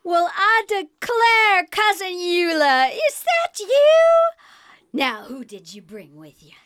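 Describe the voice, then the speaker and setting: Theatrical Southern country cousin, high bright voice, slow drawl, flirty, macabre, radio comedy. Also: female voice, sing-song, full character voice. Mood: gushing welcome. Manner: drawn-out, exclamatory, folksy. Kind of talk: live radio comedy acting.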